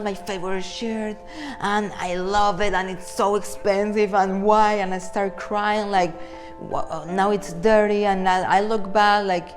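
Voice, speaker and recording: A man in his twenties with a Venezuelan accent, a motivational speaker talking to camera in a playful, animated way and acting out imagined dialogue. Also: high voice. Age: 20s. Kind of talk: talk to camera.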